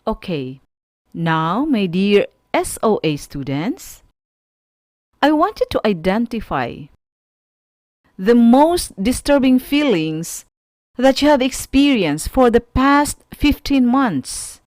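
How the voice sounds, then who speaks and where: A woman with a Filipino accent teaching an online radio school lesson, speaking to students in a deliberate, instructive way and putting questions to them. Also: a little high, very animated, a little mellow, no echo, studio quality.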